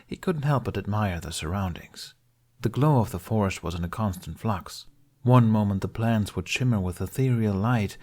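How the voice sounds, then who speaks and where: Low-voiced man reading a story aloud. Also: darkish tone. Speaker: podfic reader. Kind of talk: storybook narration.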